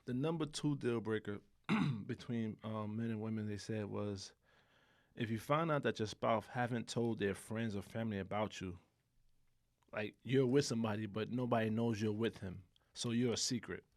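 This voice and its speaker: Male podcast co-host, teasing and relaxed, with a rough, fry-heavy voice. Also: on the low side, normal speed, dry recording, studio quality. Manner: teasing, laid-back, laughs often. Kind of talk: casual chat.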